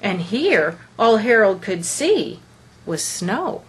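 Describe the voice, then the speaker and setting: A woman reading a children's picture book aloud as a storyteller, with deliberate, expressive phrasing. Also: mid-range voice, slightly rough, rough recording.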